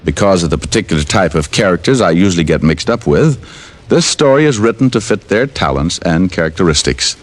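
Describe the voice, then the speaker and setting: A man's voice: sits low, lively intonation, brisk. An actor playing a wisecracking male private detective, narrating a 1950s radio drama in a wry, easygoing way. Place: radio studio.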